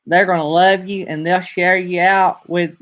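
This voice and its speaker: A man's voice: high voice, flat delivery, moderate pace, fairly bright tone. A man with a Southern accent hosting a casual live-stream chat, rambling along with plenty of fillers. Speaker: host.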